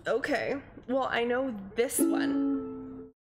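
A young woman whose voice is almost gone talks to her live-stream viewers in a very slow, loose way. Her hoarse voice still sits high, and she giggles and rambles through her filler words. Soft ukulele strumming plays behind her in a small home room. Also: loud music behind the voice. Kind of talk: casual live-stream chat between songs. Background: her own ukulele strumming.

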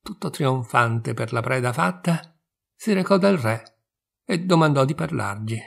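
A man in his sixties from Italy, reading a fairy tale aloud slowly and expressively. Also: mid-range voice, creaky. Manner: slow, measured, expressive. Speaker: storyteller.

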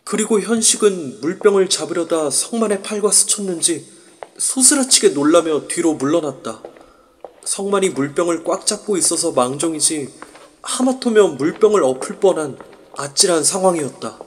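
High-voiced male narrator, irritated. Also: expressive, normal speed, slight background noise. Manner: irritated inner monologue. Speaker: narrator and lead. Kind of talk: scripted drama narration.

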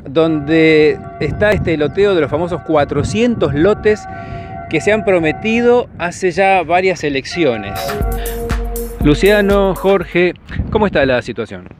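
A man with an Argentine accent, a reporter asking brisk, direct questions on site outdoors. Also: a little high, expressive, over music. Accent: Argentine Spanish. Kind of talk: on-site news interview. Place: outdoors by a street.

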